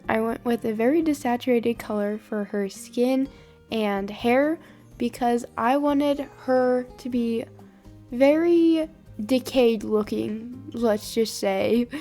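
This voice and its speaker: A young woman, hoarse from a sinus infection, chatting upbeat in a voiceover about her drawing. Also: fairly high pitch, slow, a little mellow, faint music behind. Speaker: art channel host. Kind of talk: voiceover over drawing footage.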